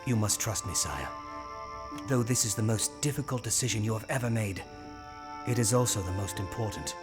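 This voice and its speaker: An actor playing a loyal knight and royal counsellor in an audio drama, speaking formally and persuasively. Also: male voice, fairly low pitch, expressive, normal speed, music in the background, natural voice. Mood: earnest. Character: loyal, earnest, firm knight counselling his king.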